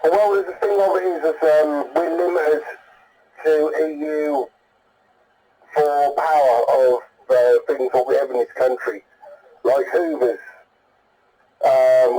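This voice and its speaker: A man's voice: on the high side, warm tone, rough recording. A British man heard over CB radio, chatting in a blunt, colloquial, rambling way as an enthusiast. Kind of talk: casual radio chat.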